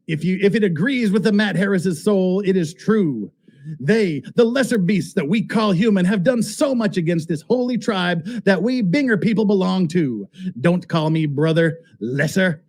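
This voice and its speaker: A man with a husky voice reading a text aloud dramatically, with amused asides as host. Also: high-pitched, a little mellow. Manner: amused, often breaking into laughter as he reads. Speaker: reader and commentator.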